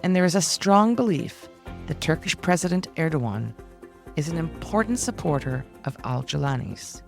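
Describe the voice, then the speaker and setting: A middle-aged woman hosting a podcast, speaking in measured, deliberate, emphatic phrases as she introduces and questions. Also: low-pitched, very animated, creaky, soft background music. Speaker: podcast host and interviewer. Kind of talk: scripted introduction and formal interview questions.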